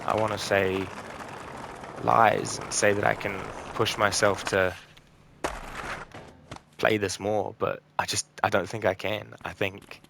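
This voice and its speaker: A man hosting a gaming video, talking casually over gameplay in a croaky, slightly raspy voice, tired and burnt out, rambling with ums and trailing off. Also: on the low side, fairly bright tone, a little hiss or hum. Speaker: gaming channel host.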